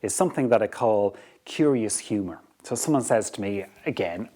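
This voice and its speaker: A man's voice: lowish voice, lively intonation, moderate pace, dark tone. A man with an Irish accent hosting a motivational talk on leadership, earnest and repetitive, often checking in with "okay?". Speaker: podcast host.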